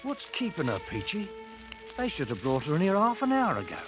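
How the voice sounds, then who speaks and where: A man's voice: sits high, huge pitch swings, a little fast, rough recording. A proud, blustering self-made British king. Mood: impatient, irritated. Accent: working-class English. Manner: commanding, blustering, rough-spoken. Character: proud, stubborn British ex-soldier crowned king of hill country. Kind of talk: 1950s radio drama acting. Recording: vintage 1950s radio broadcast, loud music.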